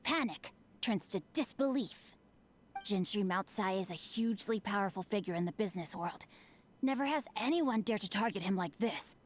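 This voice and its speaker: A woman voicing a refined, bookish teenage boy swordsman who speaks formally and calmly. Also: mid-range voice, normal speed, a little hiss or hum, natural voice. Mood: composed. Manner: eloquent, composed, formal.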